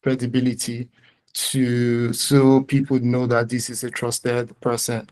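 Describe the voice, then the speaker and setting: A man with a Nigerian accent giving a live startup pitch and answering questions in an explanatory, somewhat hesitant way. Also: completely flat delivery, measured pace, a little mellow.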